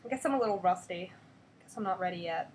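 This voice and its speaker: A woman's voice: fairly low pitch, fast talker, voice pushed down, lightly acted. A woman playing a subdued, reluctant lone superheroine in a hesitant, understated storybook read-aloud. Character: subdued, reluctant lone heroine declining company. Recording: noisy webcam recording.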